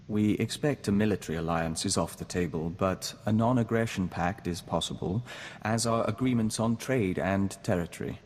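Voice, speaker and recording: An actor playing a serene, courteous hive-bonded diplomat in a video game, speaking in a measured, formal way. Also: male voice, fairly low pitch, darkish tone, in a large hall, close to an everyday voice. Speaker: companion character in a video game. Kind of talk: scripted game dialogue.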